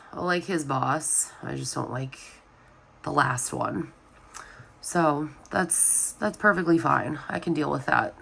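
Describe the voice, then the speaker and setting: Southern young woman with a head cold, all nasal and sniffly, talks slow and bright, kinda low energy and rambly. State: sick with a stuffy nose, sniffling. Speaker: planner vlogger. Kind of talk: casual chat.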